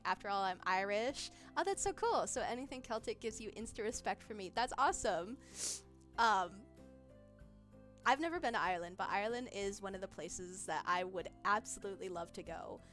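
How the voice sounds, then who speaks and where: A woman's voice: medium pitch, normal speed, fairly bright tone. Young woman, streamer, casual chat, giggly, rambling, faint music behind. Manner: giggly, rambling, many fillers. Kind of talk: casual livestream chat, reading chat. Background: faint background music.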